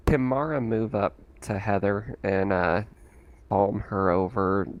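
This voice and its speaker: A man's voice: lowish voice, fairly even intonation, slow, lo-fi sound. A man taking part in a tabletop roleplaying game as a player, chatting casually. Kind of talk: casual chat. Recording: clear background noise with clicks.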